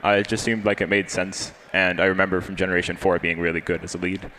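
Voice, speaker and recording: A man's voice: low voice, flat delivery, quick pace, pushed and bright, slight background noise, low-quality recording. A teenage male player giving casual, understated, matter-of-fact answers as an interviewed champion. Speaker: tournament champion being interviewed.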